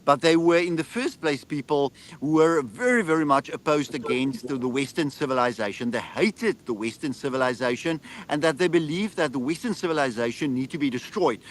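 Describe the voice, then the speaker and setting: A man's voice: fairly high pitch, moderate pace, a little hiss or hum. A man with a South African accent and Afrikaans as his first language, speaking as an interview guest in an earnest, explanatory way. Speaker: interview guest, political commentator. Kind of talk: conversational political interview.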